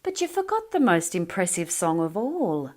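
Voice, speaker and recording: A woman voicing a gentle young female lyrebird in a storybook reading, speaking kindly with a soft, knowing manner. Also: fairly low pitch, big pitch swings, normal speed. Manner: kind, gentle, softly knowing.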